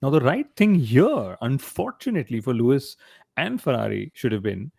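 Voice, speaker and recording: Creaky-voiced man hosting a podcast. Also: mid-range voice, big pitch swings, normal speed, a little mellow, no echo, studio quality. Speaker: podcast host. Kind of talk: motorsport panel discussion.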